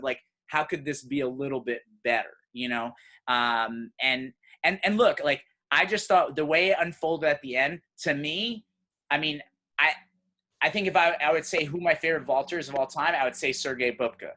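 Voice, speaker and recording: A man from Serbia hosting a podcast, talking in an animated, conversational way. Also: on the high side, fairly quick. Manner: animated, conversational, says "you know" often. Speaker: podcast host.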